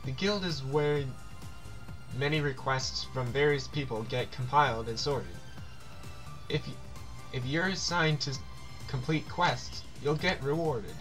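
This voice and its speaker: Slow-paced man narrating a video game. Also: medium pitch, fairly bright tone, faint music behind. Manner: stumbles over words and repeats himself while reading. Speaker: let's play host reading game text aloud. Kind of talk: casual game commentary, reading on-screen dialogue aloud.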